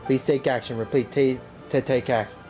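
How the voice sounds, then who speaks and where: A woman voicing a literal-minded game robot that speaks in clipped, mechanical statements, reading haltingly. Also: very deep voice, fairly even intonation, mellow, soft background music, voice pushed down. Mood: emotionless. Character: flat, literal robot reciting calculations.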